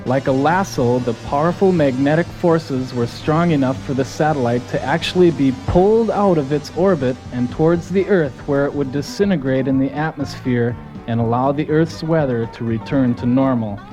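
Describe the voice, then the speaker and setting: A man's voice: medium pitch, normal speed, few pauses, darkish tone, natural voice, rough recording. A man playing a folksy, gung-ho soldier who narrates his team's mission in a scripted audio drama. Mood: earnest storytelling. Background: music.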